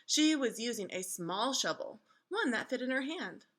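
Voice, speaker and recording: Bright-voiced woman reading a storybook aloud. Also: on the high side, moderate pace. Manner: expressive, instructive. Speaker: read-aloud teacher. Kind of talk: children's storybook read-aloud with questions to listeners.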